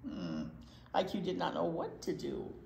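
An older woman reading a picture book aloud to children in an expressive way, with asides to young listeners. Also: fairly low pitch, unhurried, gravelly, a little hiss or hum. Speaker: library storytime reader. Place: indoor library storytime set. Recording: roomy indoor sound.

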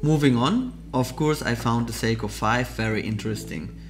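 Male vlog host, mid-pitched, keen explainer voice-over with light music behind. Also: normal speed. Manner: enthusiastic, explanatory product commentary. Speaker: vlogger, watch channel host. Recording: soft music underneath.

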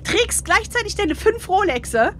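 Female podcast co-host, high and lively, cheeky mock-outrage in casual gamebook banter, slight background noise. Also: measured pace.

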